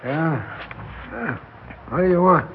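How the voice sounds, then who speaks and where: An actor playing an evasive, slangy down-and-out drunk with slurred speech in a 1960s radio drama. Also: male voice, fairly high pitch, lively intonation, slow pace. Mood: gruff, sardonic. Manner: slurred, rambling, slangy tipsy talk. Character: drunken, evasive down-and-out barfly man in hiding. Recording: dull, noisy 1960s radio recording.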